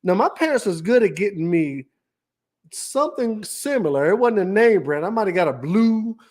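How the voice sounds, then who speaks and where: This is a man in his fifties with a drawl who co-hosts a podcast. He talks in an easygoing, joking way and stretches his stories out. His voice sits a touch high for a man. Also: lively intonation, darkish tone. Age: 50s. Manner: easygoing, joking, drawn-out storytelling. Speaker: podcast co-host. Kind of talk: casual chat.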